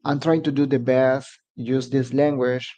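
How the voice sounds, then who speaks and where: A man's voice: mid-range voice, flat delivery, unhurried, dark tone. A man from Mexico whose first language is Spanish, speaking English with a Mexican Spanish accent as he hosts a casual live stream and halts to search for words. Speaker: immigration advice channel host.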